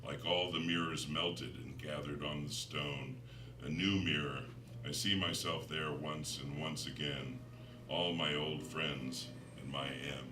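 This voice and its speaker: An American man, a poet in middle age, reading his own work to listeners in a measured, deliberate, dramatic way. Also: rumbling low, fairly even intonation. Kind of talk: live poetry reading with spoken introductions. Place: live reading in a room before an audience. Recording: roomy, distant mic, faint noise.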